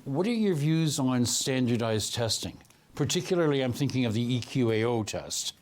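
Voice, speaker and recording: A man hosting a local election interview, asking questions in a measured, formal way. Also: mid-range voice, expressive. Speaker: host. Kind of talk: broadcast debate interview.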